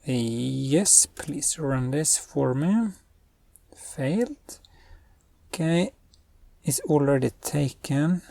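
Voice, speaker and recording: Slow, gravelly man. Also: a little high, lively intonation, dark tone, no echo. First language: Norwegian. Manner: thinks aloud while giving spoken commands. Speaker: web developer and channel host. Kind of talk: screen-recorded coding tutorial.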